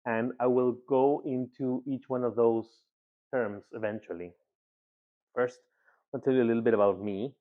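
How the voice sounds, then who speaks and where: A man from Ecuador giving a conversational presentation as a guest speaker, often pausing with filler words and repeating himself. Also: mid-range voice, steady tone. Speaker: guest speaker, agency founder. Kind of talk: presentation talk. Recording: slightly dull, lo-fi sound.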